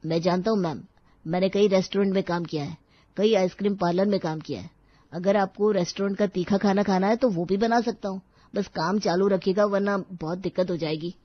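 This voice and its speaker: A woman's voice: medium pitch, fairly even intonation, a little fast, no room sound, highs cut off, close to an everyday voice. A woman voicing an eager, deferential male cook in a story, respectful and keen to please.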